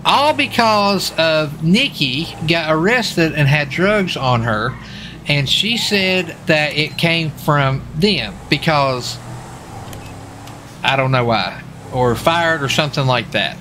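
Bright-voiced rambling man, higher-pitched. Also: expressive, slow pace, a little hiss or hum. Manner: rambling, repeats himself. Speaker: livestreamer and role-player. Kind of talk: casual chat while gaming.

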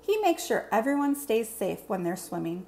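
A woman reading a picture book aloud to children in an expressive teacher's style. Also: a little high, moderate pace. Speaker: read-aloud presenter, teacher. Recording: clear background room noise.

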